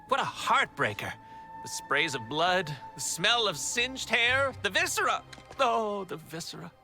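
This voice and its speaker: An actor playing a manic, sadistic male villain clown who giggles and shifts from purrs to shouts, in a video game cutscene. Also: sits high, full character voice. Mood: relishing. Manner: theatrical, giggling, swoops between purrs and shouts. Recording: game cutscene with loud music.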